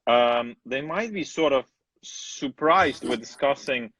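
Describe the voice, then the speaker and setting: A man's voice: medium pitch, lively intonation, takes it slowly, a bit bright, dry recording. A man who grew up in the former Soviet Union, hosting a casual chat, talking bluntly and unhurriedly, swearing freely. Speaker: host cinematographer. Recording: dry, slightly dull sound.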